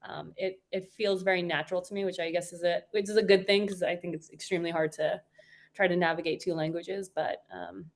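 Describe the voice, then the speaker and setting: A woman in her forties with a slightly low voice speaks in a relaxed, chatty way. She laughs easily and her sentences are full of fillers and restarts. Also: brisk. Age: 40s. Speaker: guest. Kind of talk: casual chat.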